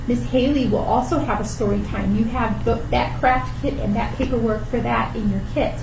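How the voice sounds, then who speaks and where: Female storytime host for small children, lively read-aloud delivery, high register, echoey room with distant mic. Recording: roomy, distant mic, faint background noise.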